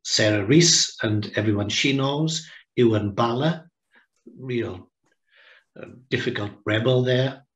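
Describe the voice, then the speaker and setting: A man in his sixties giving a reflective seminar talk over a video call from home, pausing and restarting as he thinks. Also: mid-range voice. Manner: reflective, with many pauses and restarts. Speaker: guest speaker. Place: home room, indoors. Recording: roomy echo on a video call.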